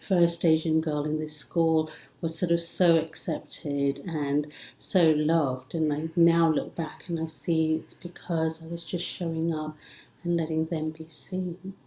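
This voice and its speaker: A woman's voice: sits low, mellow, roomy sound, rough recording. A middle-aged woman, raised in India and England with English as a second language, speaking earnestly and reflectively as an interview guest. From born in Africa, raised in India and England. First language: not English. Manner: earnest, flowing, with frequent "you know". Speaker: podcast guest, psychologist.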